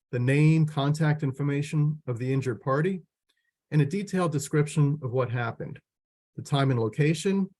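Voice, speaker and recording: A man with a hoarse voice delivers a training webinar, rushing through his material. He restarts his sentences and fills gaps with "uh" and "um." The sound comes through a video call. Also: medium pitch, a little mellow. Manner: quick, with frequent restarts and fillers. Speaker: extension educator. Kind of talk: webinar presentation.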